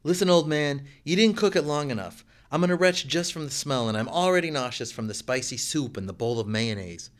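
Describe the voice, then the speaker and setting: A man's voice: a little high, animated, a little fast, few pauses, voice pushed up, lightly acted. A man voicing a blunt, impatient young woman who complains openly. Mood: disgusted.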